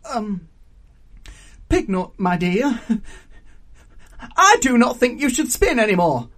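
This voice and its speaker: A polite young prince from a storybook, as a storyteller plays him. He is bewildered and desperate, stammering through his words in a slightly husky voice that rises and falls anxiously. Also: medium pitch, very animated, takes it slowly, a bit bright, voice pushed up, heavily acted. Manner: stammering, hesitant.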